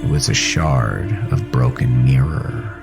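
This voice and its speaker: A man acting as a haunted, regretful narrator, telling a first-person horror story in an intense, deliberate way over music. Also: very deep voice, animated, creaky, full character voice. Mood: somber, haunted. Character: haunted, regretful man recounting his fight with a monster. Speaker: narrator and host of a horror audio drama.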